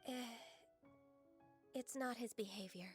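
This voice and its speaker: An actress playing a gentle, earnest, dutiful young woman of half-immortal blood in a video game, polite, hesitant and formal. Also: fairly high pitch, slow pace, faint music behind. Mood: troubled.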